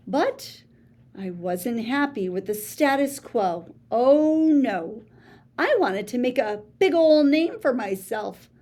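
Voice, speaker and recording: A woman reading a children's picture book aloud in a playful, theatrical way, with big swings between soft and showy lines. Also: on the high side. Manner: boastful first-person storybook voice. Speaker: read-aloud storyteller.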